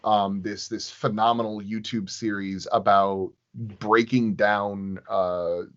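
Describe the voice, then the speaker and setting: Guy guest on a podcast, takes his time, halting and rewording stuff, bit muffled sound. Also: fairly low pitch, steady tone. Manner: halting, circling back to rephrase. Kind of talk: casual interview chat.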